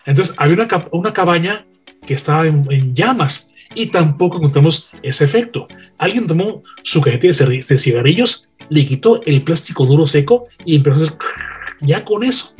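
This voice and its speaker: A man's voice: fairly high pitch, expressive, fairly quick, husky, soft background music. A man, a radio broadcaster telling enthusiastic anecdotes in a casual panel chat.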